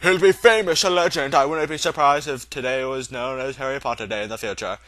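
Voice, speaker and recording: A man's voice: mid-range voice, brisk, fairly bright tone, dry recording, natural voice. A man voicing a stern, anxious older female professor in a storybook reading, with emphatic, fussy, scolding delivery. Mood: indignant. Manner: emphatic, exclamatory, clipped scolding.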